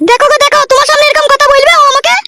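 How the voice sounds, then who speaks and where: A woman playing a foul-mouthed young cartoon wife who scolds and abuses in a shrill voice. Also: flat delivery, very fast, very noisy. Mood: indignant. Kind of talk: cartoon comedy dialogue.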